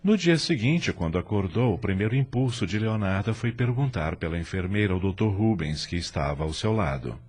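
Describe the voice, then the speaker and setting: A man's voice: on the low side, lively intonation, a little fast, few pauses, a little mellow, husky, soft background music. A man narrating an audio drama in a scripted, literary storytelling style.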